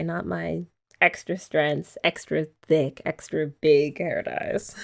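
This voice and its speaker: A woman's voice: lowish voice, vocal fry, darkish tone. A young woman chatting playfully and teasingly in a girlfriend roleplay ASMR. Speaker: ASMR creator.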